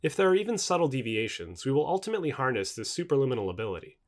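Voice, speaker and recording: A man reading scripted nonfiction as an audiobook narrator, with formal, measured enunciation. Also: medium pitch, very animated.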